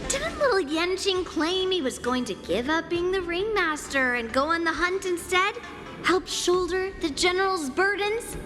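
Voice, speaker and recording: A woman playing a confident, blunt but caring young girl swordswoman in a video game, brisk and commanding. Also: very high-pitched, animated. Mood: teasing, smug. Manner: brisk, commanding, self-assured. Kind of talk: video game character acting. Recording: long reverb, music behind.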